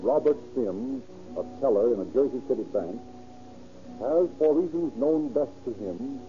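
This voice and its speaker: A man's voice: medium pitch, expressive, normal speed, soft background music. A man announcing and narrating a scripted 1930s radio program in a formal, declamatory way. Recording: dull, lo-fi old radio recording.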